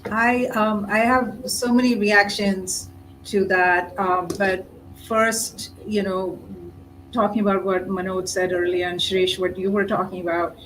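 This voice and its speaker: A woman's voice: mid-range voice, level tone, measured pace, a bit bright, slight background noise, poor audio. A woman who grew up in India, speaking earnestly and reflectively as a participant in a panel discussion.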